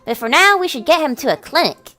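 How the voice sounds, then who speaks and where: Woman doing a prim, high-voiced, teasing younger sister. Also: sing-song, fairly quick, bright, forceful tone, faint music behind, full character voice. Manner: prim, sweetly scolding. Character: composed, teasing younger sister doting on her brother.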